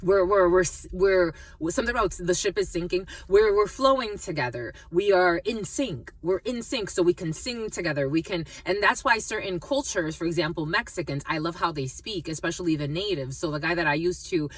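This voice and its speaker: A woman's voice: fairly low pitch, brisk, hardly any pauses, slightly rough, no room sound, distant mic. A woman with family from the Dominican Republic, giving a rambling tarot reading full of fillers and restarts. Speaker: tarot reader. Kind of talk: casual spiritual reading monologue.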